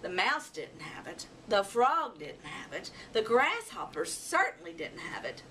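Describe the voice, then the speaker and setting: A woman's voice: medium pitch, sing-song, measured pace, pushed and bright. A woman reading a children's story aloud expressively, acting out animal sounds and voices. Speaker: storybook reader. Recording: noisy, distant microphone.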